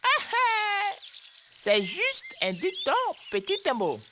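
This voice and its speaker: High, swooping motherly cartoon bird. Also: wildly swooping pitch, slow, noisy background, exaggerated voice. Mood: playful, warm. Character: cheerful motherly singing bird, Maman Ndege. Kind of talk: children's educational cartoon acting. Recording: music under the voice.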